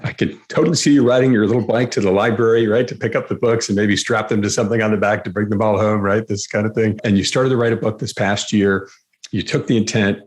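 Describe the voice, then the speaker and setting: A man hosting a podcast, reading a guest's introduction and asking questions with enthusiastic, affirming remarks. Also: lowish voice. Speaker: podcast host. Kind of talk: podcast interview.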